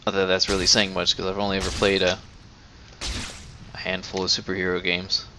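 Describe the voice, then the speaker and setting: A man's voice: low voice, completely flat delivery, a little fast, background noise. A man giving casual, laid-back gameplay commentary with offhand swearing. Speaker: gamer commentator. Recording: loud game music behind voice. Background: video game music and audio.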